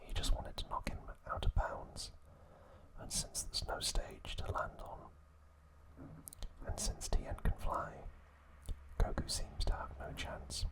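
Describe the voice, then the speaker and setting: A man hosting ASMR, whispering a recap in a hushed, unhurried manner with deliberate pauses. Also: low-quality recording. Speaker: ASMR host.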